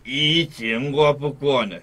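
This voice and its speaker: An actor with a Taiwanese accent playing a pompous, unfeeling colonial magistrate in a radio drama, drawling officiously. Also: male voice, medium pitch, slow pace, fairly bright tone. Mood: officious, dismissive.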